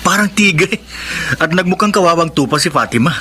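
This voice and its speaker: An actor playing the hero's candid, curious male friend in a Filipino radio drama, animated and inquisitive. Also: fairly high pitch, a little fast, a little hiss or hum. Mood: curious, concerned.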